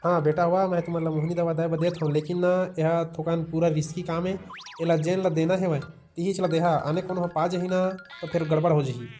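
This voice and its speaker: A man's voice: on the high side, steady tone, brisk, a little mellow, soft background music, natural voice. A man voicing a boastful older village shaman in a comic cartoon, chanting mock-solemn spells in a Chhattisgarhi accent. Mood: helpful.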